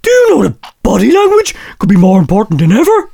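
A man's voice: high-pitched, huge pitch swings, quick pace, gravelly, warm tone, heavily acted. A man voicing a smug, boastful old friend reading out his patronising comic letter. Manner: patronising, self-satisfied.

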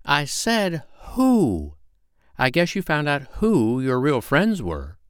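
A man's voice: fairly high pitch, wildly swooping pitch, takes it slowly, smooth, dry recording, clean studio sound, pitched above the performer's own voice, heavily acted. A man voicing a wry, pedantic owl who hoots and talks with sarcasm in a children's bedtime story. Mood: wry, instructive. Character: hooting, wry, sardonic male owl.

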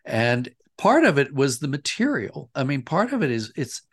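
Guy being interviewed, creaky mid-pitched voice, thinking out loud and explaining stuff, conversational. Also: sing-song, normal speed. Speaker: interview guest, audio drama writer and director.

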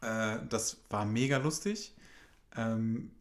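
A man's voice: on the low side, slow. A man in his late thirties telling a casual anecdote, rambling with frequent fillers. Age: 30s.

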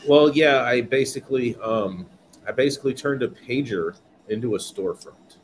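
A man's voice: mid-range voice, normal speed, a little hiss or hum. A man from California, a guest on a podcast interview, telling his story earnestly and repeating words as he goes. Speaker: guest, business owner.

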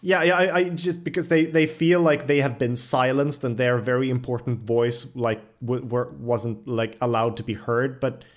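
Mid-pitched man hosting a casual interview. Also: little pitch movement, moderate pace, hardly any pauses. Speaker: podcast host interviewer.

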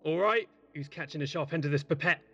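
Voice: medium pitch, fast talker, lo-fi sound, loud music behind the voice, close to an everyday voice. A performer playing a cheeky, playful, teasing colleague at a space clinic in an audio drama, breezy and informal. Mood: joking, cheeky. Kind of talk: sci-fi audio drama acting.

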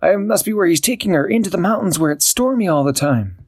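Storybook hero: eager male cat ninja, high and bouncy; male reader doing the voice. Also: expressive, fast talker, darkish tone, husky, no echo, clean studio sound, exaggerated voice. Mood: excited.

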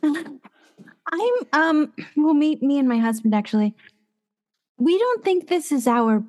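A woman's voice: high-pitched, lively intonation, slow, darkish tone. A woman improvising a worried young wife at a doctor's visit, stumbling over her words.

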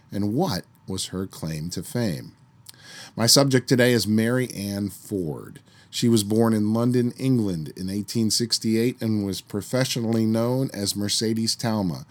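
A man hosting his own history podcast, talking casually with a slightly hoarse voice after a summer cold, with the odd filler and restart. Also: lowish voice. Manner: conversational, with fillers and restarts while recounting history. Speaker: podcast host. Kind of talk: solo history podcast narration.

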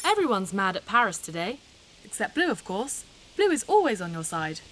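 Lively, childlike little companion. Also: female voice, mid-range voice, moderate pace, a little hiss or hum, rough recording. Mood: consoling. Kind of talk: audio drama acting.

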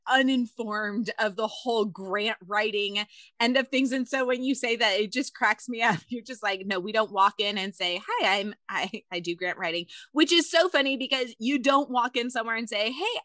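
A woman hosting a podcast, chatty and quick to laugh, telling stories with quoted asides. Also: fairly high pitch, bright, forceful tone, no room sound. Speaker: podcast host. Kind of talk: casual podcast interview.

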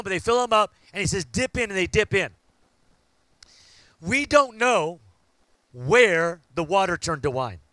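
A man, preaching a sermon and building to loud, fervent call-outs to the congregation. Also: high voice, no echo. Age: 50s. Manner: preaching with rising shouts and call-outs to the crowd. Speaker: pastor.

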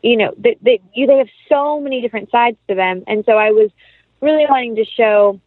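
A woman's voice: a little high, fairly quick, no echo, phone-quality sound. A woman chatting casually and informally as an actress being interviewed, rambling as she explains. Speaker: interview guest, film actress.

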